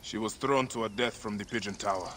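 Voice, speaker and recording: Low-voiced stern warrior, played by a man. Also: brisk, fairly bright tone, a little hiss or hum, lightly acted. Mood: grim, determined. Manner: terse, intense. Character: stern, determined male Egyptian warrior protector. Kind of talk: dramatic video game cutscene acting.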